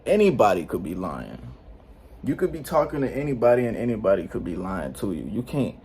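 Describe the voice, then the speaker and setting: Teen male vlogger, low voice, slangy and rambling, faint room hum behind. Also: big pitch swings, a little fast, darkish tone. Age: late teens. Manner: slangy, rambling, laid-back, frequent "you know what I'm saying". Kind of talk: unscripted casual vlog talk. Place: indoors at home.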